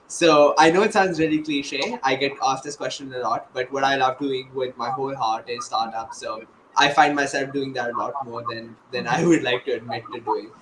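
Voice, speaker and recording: A man's voice: mid-range voice, fairly even intonation, normal speed. A man with a mid-range voice talks casually as a panel guest. His words come unscripted, through a roomy online call with noticeable noise. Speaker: panelist, startup enthusiast. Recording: echoey, noisy online call.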